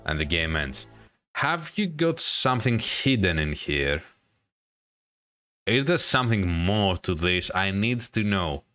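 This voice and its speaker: A man's voice: low voice, big pitch swings, slow, pushed and bright. A man hosting a gaming video, giving commentary on a visual novel playthrough. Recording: music behind the voice. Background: game music.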